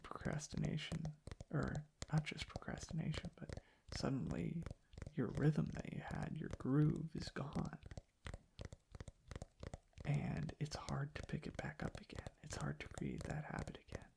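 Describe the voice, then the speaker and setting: A man softly rambling in an ASMR style, hushed and halting, drifting into whispers close to the mic. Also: fairly high pitch, steady tone, vocal fry, noisy background. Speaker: ASMR video creator. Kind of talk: soft-spoken ASMR ramble. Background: tapping and clicking.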